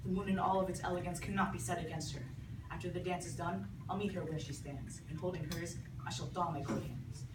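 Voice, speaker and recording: A lovestruck young nobleman speaks in soft, wistful verse, as a woman voices him for a puppet show. The recording is distant and roomy, with a steady wash of noise. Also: lowish voice, fast, lightly acted. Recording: echoey room from far off with noise.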